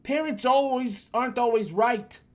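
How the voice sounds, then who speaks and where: A man's voice: very high-pitched, normal speed, a little mellow, pitched above the performer's own voice. A man voicing a stubborn, defensive school teacher in a read-along. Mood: indignant.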